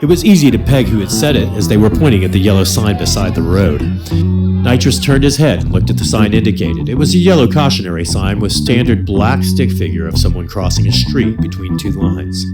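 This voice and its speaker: A man's voice: lowish voice, expressive, a little fast, vocal fry. A man narrating a scripted comedy radio drama in a dramatic storytelling style. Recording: loud music bed under the voice.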